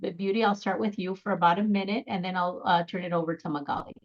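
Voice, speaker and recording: A woman moderating an online question session on a video call, brisk and organized as she explains the format. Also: lowish voice, fairly even intonation, highs cut off. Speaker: event moderator.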